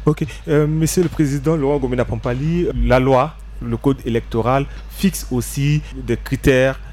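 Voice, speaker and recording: A man moderating a panel talk show, asking long formal questions in a measured way. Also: medium pitch, fairly even intonation, a little mellow, slight background noise.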